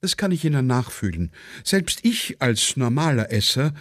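A man's voice: mid-range voice, very animated, normal speed, fairly bright tone, no room sound, studio quality. A man voicing a fussy, inquisitive, grumbling Viennese citizen in a satirical radio play. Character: fussy, curious, average-eating Viennese man, chatty grumbler.

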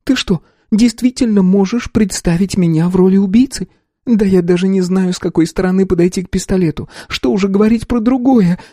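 A man voicing the narrator's young adult sister, who speaks in a high-pitched, emotional way. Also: a little fast, warm tone, dry recording, clean studio sound. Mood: upset, tearful.

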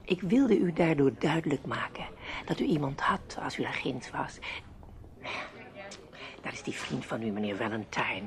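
A woman's voice: extremely low pitch, lively intonation, normal speed. An actress playing a courteous, chatty woman in a radio play, with a polite, theatrical way of talking. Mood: discreet. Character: Mrs Penelope, courteous, chatty female acquaintance. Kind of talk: Dutch radio play acting.

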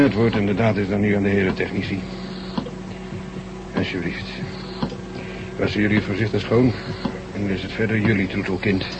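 A man acting in a Dutch science-fiction radio drama as a calm surgeon, muttering in a businesslike way as he works. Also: fairly low pitch, close to an everyday voice. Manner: businesslike, muttering while working. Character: calm, brisk male surgeon at work. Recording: very noisy old radio drama recording.

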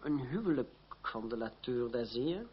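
An actor playing a proud, sardonic young French fencing master in a radio play, with a mocking manner. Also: male voice, mid-range voice, expressive, normal speed, close to an everyday voice. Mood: cool, proud. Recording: dull, noisy old radio play recording.

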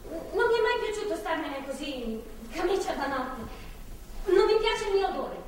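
An actress playing a wounded, sarcastic, foul-mouthed pregnant captive in a stage drama. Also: female voice, extremely high pitch, big pitch swings, moderate pace. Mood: pleading, insistent. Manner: sarcastic, pleading, profane outbursts. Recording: echoey hall from far off, faint noise.

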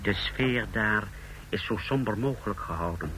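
A man's voice: fairly low pitch, moderate pace, bright, forceful tone, a little hiss or hum, rough recording. A man acting a deferential, precise official who reports formally and clinically in a Dutch radio play. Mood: businesslike. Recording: old radio drama recording.

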